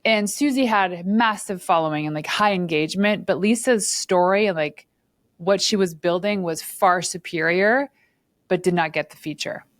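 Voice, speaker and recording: Low-voiced chatty woman host. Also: moderate pace, dry recording. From Northern Ontario, Canada. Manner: chatty, laughs often, many fillers. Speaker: podcast host. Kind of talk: casual interview chat.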